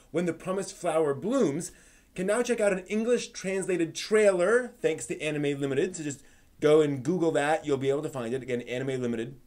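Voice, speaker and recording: A man's voice: a little high, a little mellow. A man hosting a live stream in a conversational way, moving between news rundown and casual asides to his viewers.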